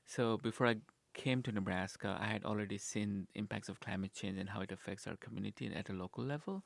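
A man's voice: on the low side, fast, gravelly, no room sound. A man from Nepal, a university sustainability director, answering interview questions earnestly and hesitantly, with many fillers and restarts.

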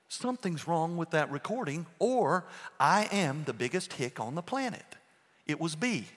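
A pastor preaching a sermon, emphatic and full of rhetorical questions. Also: male voice, a little high, fairly bright tone. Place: church sanctuary. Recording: long reverb in a church hall.

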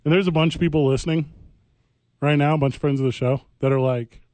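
A man hosting a comedic sports radio chat, animated and breaking into mocking voices. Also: fairly high pitch, quick pace, no room sound. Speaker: sports talk show host.

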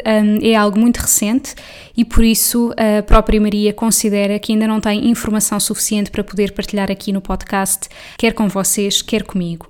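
Woman, European Portuguese accent, podcast host, measured friendly delivery, mid pitch. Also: darkish tone, smooth. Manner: measured, friendly announcing, brisk in chat. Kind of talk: podcast intro and interview.

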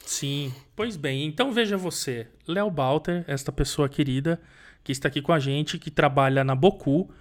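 A man with a Brazilian Portuguese accent hosting a podcast, chatting warmly, laughing often and joking with his guest. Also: on the high side, normal speed. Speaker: podcast host. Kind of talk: casual interview chat.

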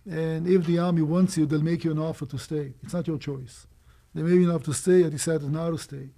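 A man in his sixties, born in Romania, telling his life story as an interview guest in a brisk, animated way. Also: a little high. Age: 60s. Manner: brisk, animated, quick asides and small laughs.

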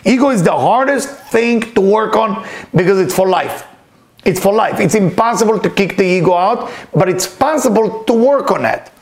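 Man with a high-set voice, Hebrew as his first language, earnest teacher who repeats points and questions himself aloud. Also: lively intonation, moderate pace. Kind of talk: informal spiritual lesson, reading and explaining.

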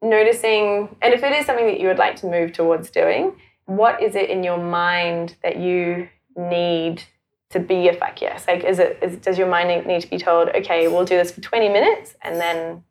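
A woman's voice: on the low side, moderate pace. A woman from South Africa who later lived in New Zealand, talking casually and informally as an interview guest, peppering her sentences with "like". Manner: informal, animated phrasing with frequent "like". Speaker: podcast guest, sexuality and mindfulness facilitator.

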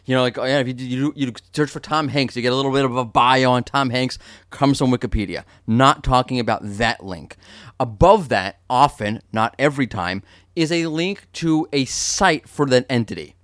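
A man's voice: mid-range voice, moderate pace, fairly bright tone, dry recording, clean studio sound. A man hosting a podcast in a casual, joking way, explaining things with lots of fillers and restarts. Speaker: podcast host.

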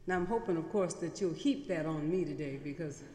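A woman's voice: low voice, a little fast, dark tone, a little hiss or hum. Middle-aged woman guest preacher, storytelling sermon style, long church reverb. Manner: conversational storytelling, building emphatic repetition. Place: large church.

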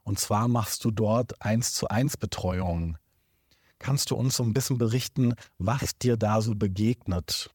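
Low-voiced male podcast host. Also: unhurried, darkish tone, husky, no echo, studio quality. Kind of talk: hosting a podcast interview. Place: indoor room in Berlin.

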